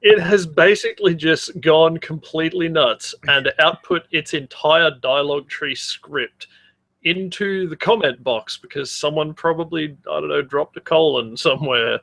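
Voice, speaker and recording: A man's voice: fairly high pitch, a little slow, creaky, a bit bright, dry recording. A man co-hosting a podcast in casual banter, with a sardonic, sweary way of talking. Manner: sardonic, profane. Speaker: podcast co-host.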